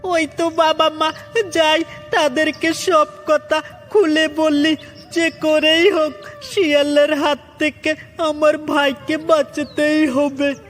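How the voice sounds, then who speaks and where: A man's voice: very high-pitched, flat delivery, slow pace, fairly bright tone, voice pushed up, exaggerated voice. A man voicing a frightened little bird child in a children's cartoon, pleading tearfully and repeating words. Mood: urgent, worried. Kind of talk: Bengali children's cartoon storytelling. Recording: heavy reverb with music.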